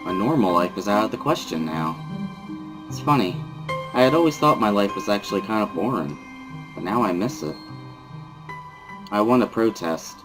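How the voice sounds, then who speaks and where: A man's voice: low voice, fairly bright tone, rough recording. A man giving a somber, weary first-person dramatic reading of a visual novel as narrator.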